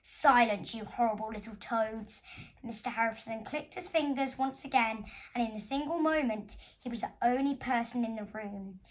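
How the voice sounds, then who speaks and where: A woman's voice: on the high side, moderate pace, hardly any pauses, poor audio. A woman narrating a children's story expressively, as an author reading her own book.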